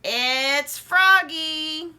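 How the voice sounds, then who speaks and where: A woman voicing a cheerful, pushy male frog in a storybook, with a croaky, exclaiming delivery. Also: high-pitched, drawn-out words, bright, forceful tone, pitched above the performer's own voice, heavily acted. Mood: excited, eager. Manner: exclaiming, breezy, familiar.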